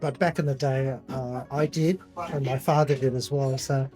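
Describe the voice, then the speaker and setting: An older man, retired from accounting, talks in a measured and reflective way. His voice sits at an ordinary pitch. Faint music plays under him. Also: steady tone, darkish tone. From Australia. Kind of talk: interview answers.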